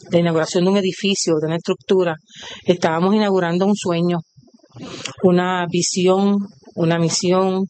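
An older woman with a Puerto Rican accent, a retired cooperative worker being interviewed, speaking proudly and devoutly and listing things in a steady rhythm. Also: on the low side, slight background noise. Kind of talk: on-site interview.

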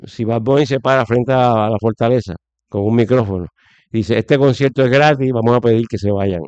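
A man's voice: mid-range voice, a little fast, a little mellow, clean tone, dry recording, highs cut off. Middle aged puerto rican guy talking politics, opinionated, repeats stuff for emphasis, close dry sound. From Puerto Rico. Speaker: interview guest giving political opinion. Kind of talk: conversational political commentary.

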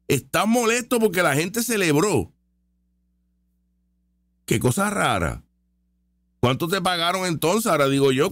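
Male host, sarcastic and argumentative, with a rather high voice that swoops all over. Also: no room sound. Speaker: online commentary host. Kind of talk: live reaction commentary.

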